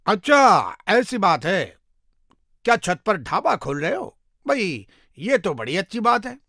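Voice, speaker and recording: A talkative neighbourhood man from a moral tale , acted by a man. His voice is highish and very lively, with a forceful edge. He speaks emphatically and familiarly. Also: a little slow, dry recording, clean studio sound, heavily acted. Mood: pleased, eager. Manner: animated, emphatic, familiar address. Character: chatty, eager neighbourhood man, a customer or complaining neighbour.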